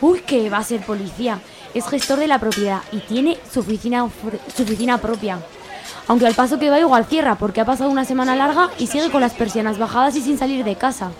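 Radio serial: high-pitched woman from Spain played by an actress, chatty, colloquial, unlucky in love. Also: quick pace, lightly acted. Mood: resigned, confiding. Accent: Spanish from Spain. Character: chatty, jilted woman confiding dating disasters. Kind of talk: radio serial comedy acting.

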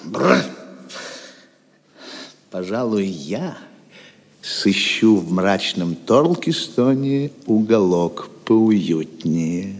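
A proud Norman knight in a radio play, suave and florid with menace underneath. He takes his words slowly and deliberately, and the sound rings in a long hall-like reverb. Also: on the low side, lively intonation, heavily acted. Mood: sly, amused. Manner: florid, mock-gallant, menacing. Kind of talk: theatrical audio drama acting.

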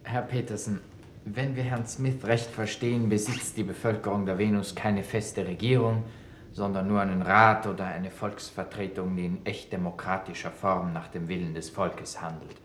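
A man acting a pompous male minister in a 1960s radio play. His voice is on the low side and his phrasing long and formal. The room echoes, with faint noise. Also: fairly even intonation, normal speed, close to an everyday voice. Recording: echoey old radio drama.

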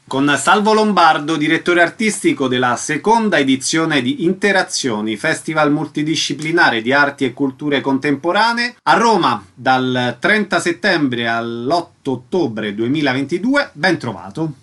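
A man's voice: fairly high pitch, animated, moderate pace, few pauses, a bit bright. A man hosting a radio programme, presenting from a script and putting short questions to a guest. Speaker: radio host.

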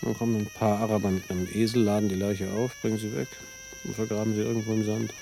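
An actor playing a cool, cynical expatriate in a naturalistic radio drama, with a gravelly voice and a laconic, matter-of-fact manner. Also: male voice, fairly low pitch, level tone, fast talker, music in the background. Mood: guarded, matter-of-fact. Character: cool, cynical male expatriate living in Tunisia. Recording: clear background noise of chirping crickets. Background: crickets, outdoor ambience.

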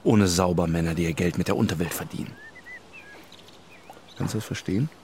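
A man acting a grim, embittered policeman in a radio drama, speaking in sombre, halting phrases. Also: deep voice, darkish tone, a little hiss or hum. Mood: grimly resolute. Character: grim, embittered policeman haunted by his sister's fate. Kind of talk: German radio drama acting, intimate confession.

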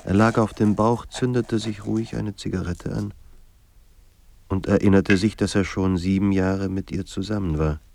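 A man performing as a somber narrator of a troubled writer's life in a radio drama, with measured, grave delivery. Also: deep voice, steady tone, gravelly, darkish tone. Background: music.

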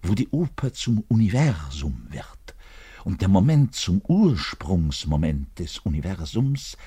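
An actor playing a lovelorn, self-important, bitter double bass player in a theatrical radio play monologue, confiding in the listener and swinging between hushed and declaimed delivery. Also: male voice, big pitch swings, unhurried, slightly rough, exaggerated voice. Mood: grandiose, ecstatic.

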